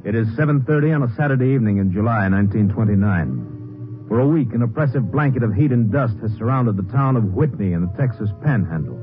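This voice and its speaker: An actor narrating a western crime radio drama in a solemn, scripted 1950s style. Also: male voice, fairly low pitch, animated, fast talker, natural voice. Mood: solemn, measured. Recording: tinny old radio recording with music.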